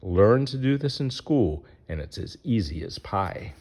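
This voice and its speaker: A man's voice: fairly low pitch, expressive, unhurried, a little mellow. A man reading a rhyming children's picture book aloud as its narrator.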